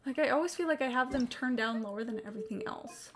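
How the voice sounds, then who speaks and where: A woman's voice: on the high side, fast, heavy background noise. A woman live streamer giving casual game commentary in a blunt, sweary, offhand way.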